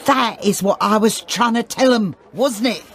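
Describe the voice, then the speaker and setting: A woman's voice: fairly high pitch, normal speed, some chatter behind, close to an everyday voice. An actress playing a defiant, shifty older market stall woman, in a radio drama. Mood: indignant. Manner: defensive, truculent, grudging. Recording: noisy ambience behind the voice.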